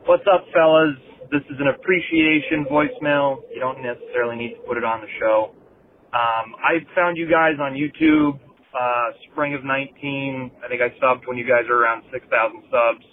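A man's voice: medium pitch, normal speed, slight background noise. Tinny phone voicemail from a man. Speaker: voicemail caller. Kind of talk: casual voicemail message.

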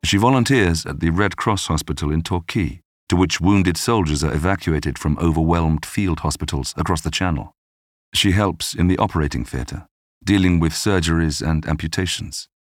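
A man narrating a history podcast from a script in a measured storytelling manner. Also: low voice, dry recording, clean studio sound. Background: music.

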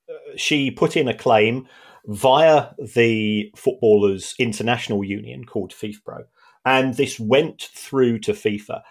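A man co-hosting a casual football podcast, speaking in halting phrases full of restarts, with measured indignation. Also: medium pitch, gravelly. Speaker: podcast co-host.

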